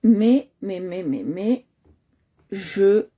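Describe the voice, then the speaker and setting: A woman's voice: medium pitch, lively intonation, very slow, mellow, breathy edge. A woman from Nice hosting a live coloring stream, chatting casually with many hesitations and fillers, talking into a computer at home. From Nice, France.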